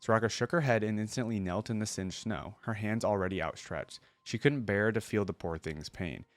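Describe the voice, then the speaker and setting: A man hosting a reaction video, reading lore aloud and adding casual, rambling commentary as he thinks out loud. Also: fairly low pitch, a little fast, dry recording.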